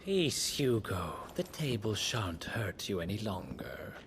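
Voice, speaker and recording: An actor playing a cool, manipulative schemer in a fantasy game, with a measured, condescending, goading delivery. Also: male voice, fairly low pitch, sing-song. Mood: calm, coaxing. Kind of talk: dramatic fantasy game acting. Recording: clear background noise under the voice.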